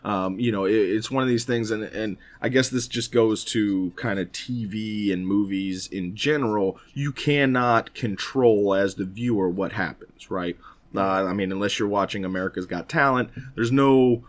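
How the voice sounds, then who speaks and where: A man's voice: on the low side, moderate pace. A man chatting casually as a podcast co-host, often hedging and circling back as he talks. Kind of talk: casual chat about games.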